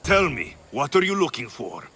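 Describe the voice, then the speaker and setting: An actor playing a firm, no-nonsense young Greek mercenary hero in a video game, blunt and commanding. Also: male voice, a little high, big pitch swings, normal speed, pushed and bright, breathy edge, exaggerated voice. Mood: threatening.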